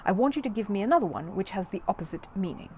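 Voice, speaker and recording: A fussy male psychiatrist from a children's storybook, acted by a woman. He speaks with strained, careful politeness and exasperation, his pitch rising and falling widely over a faintly noisy background. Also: animated, fast, a little mellow, lightly acted. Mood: brisk, professional.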